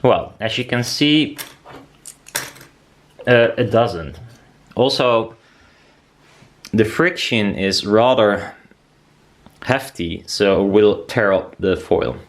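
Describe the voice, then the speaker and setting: A man's voice: fairly low pitch, lively intonation, takes it slowly, pauses often. A man giving a casual workshop demonstration as a DIY maker, rambling and thinking aloud as he goes. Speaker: DIY maker presenting a build video.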